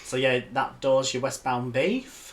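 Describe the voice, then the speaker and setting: A man's voice: mid-range voice, animated, unhurried, a little hiss or hum. Chatty man with a Yorkshire accent. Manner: chatty, rambling, laughs easily. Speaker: co-host of a building tutorial. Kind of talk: casual chat.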